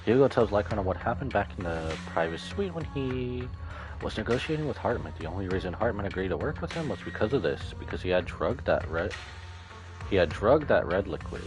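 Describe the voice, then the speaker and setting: A man streaming a game, reacting and reading the story text aloud in an expressive way. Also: on the low side, a little fast, music in the background, rough recording. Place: indoors, live stream with game music behind.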